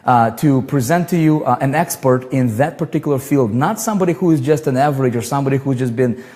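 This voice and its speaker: A man hosting an online show, talking with excitement and rambling through many fillers and restarts. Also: mid-range voice, quick pace, in a large hall. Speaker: host. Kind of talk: livestream chat and interview intro.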